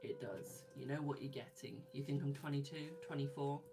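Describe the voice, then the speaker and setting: A man's voice: medium pitch, steady tone, fairly quick, gravelly, heavy background noise. A man in his twenties, a tarot reader, chatting casually on a live stream and reading viewer comments aloud, laughing often. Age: 20s.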